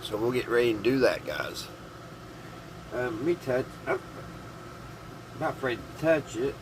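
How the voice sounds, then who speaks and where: Male hobbyist vlogger, casual and halting, folksy demo style, average pitch, noticeably noisy recording. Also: very animated. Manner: folksy, halting, thinking aloud. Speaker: hobbyist vlogger demonstrating vintage radio. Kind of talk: casual hands-on demonstration to viewers.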